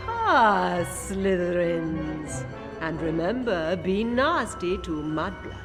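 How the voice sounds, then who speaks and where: A woman voicing a slow, sneering, snobbish talking guardian of a passage in a video game, under music. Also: lowish voice, very animated, exaggerated voice. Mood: malevolent. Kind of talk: video game cutscene dialogue. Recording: loud music behind.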